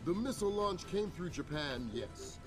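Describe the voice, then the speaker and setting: An actor playing an analytical senior intelligence adviser in a video game, measured, articulate and serious. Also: male voice, high voice, lively intonation, over music. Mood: grave, measured. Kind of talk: video game cutscene acting.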